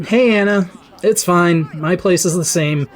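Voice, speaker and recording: A man's voice: high-pitched, a little slow, faint music behind. A young man playing a polite, reluctant, down-to-earth teenage boy in audio drama dialogue.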